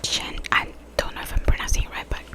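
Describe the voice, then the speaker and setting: A woman whispering softly and breathily in a casual, rambling ASMR chat. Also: quick pace. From Brazil. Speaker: ASMR video creator.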